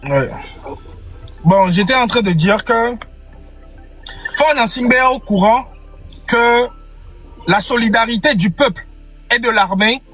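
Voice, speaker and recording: A man's voice: very high voice, slow, long pauses, fairly bright tone, faint music behind, rough recording. A young man giving an impassioned political speech, repeating phrases for emphasis. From Togo. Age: young adult. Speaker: activist.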